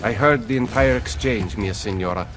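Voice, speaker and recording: A man's voice: fairly low pitch, moderate pace, fairly bright tone, soft background music, natural voice. A man playing an obedient servant in a video game. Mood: deferential. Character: obedient male servant of an Italian noblewoman. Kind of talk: video game cutscene acting.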